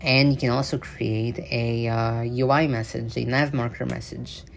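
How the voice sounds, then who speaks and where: A man talking casually over gameplay footage as a game news commentator, rambling and halting with frequent fillers and restarts. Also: medium pitch, little pitch movement, gravelly, a little hiss or hum.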